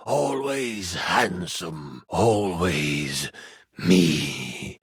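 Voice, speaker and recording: An actor playing an ancient, evil king in a video game, cold and menacing, with a gravelly, husky voice and grandiose delivery. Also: mid-range voice, a bit bright, no room sound, clean studio sound, full character voice. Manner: slow, grandiose monologuing. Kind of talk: video game villain acting.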